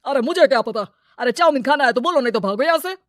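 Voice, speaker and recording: A woman's voice: high-pitched, animated, quick pace, no echo, clean studio sound. A woman voicing a greedy, scheming village moneylender man in a moral story, brash and full of exclamations. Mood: brash, dismissive. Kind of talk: animated moral-story character dialogue.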